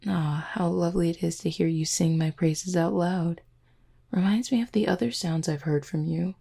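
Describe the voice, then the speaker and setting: A woman's voice: on the low side, fairly even intonation, moderate pace, mellow. A woman playing a flirty, confident werewolf who teases her listener in an intimate roleplay audio drama. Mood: flirty, sincere. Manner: teasing, flirtatious, smooth-talking. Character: flirty, teasing, confident female werewolf pack beta.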